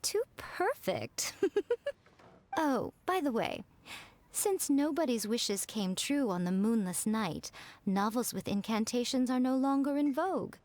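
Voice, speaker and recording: A woman playing a sly, elegant, self-indulgent lady in an anime video game, with a smug, teasing, drawn-out delivery. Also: fairly high pitch, animated, a little mellow.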